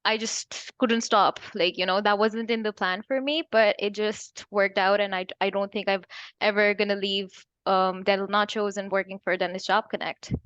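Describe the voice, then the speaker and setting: A woman's voice: medium pitch, little pitch movement, normal speed, fairly bright tone, clear, resonant tone, no room sound, lo-fi sound. A woman in her twenties from Pakistan, answering interview questions plainly and hesitantly with fillers. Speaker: remote dentist employee. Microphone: video call.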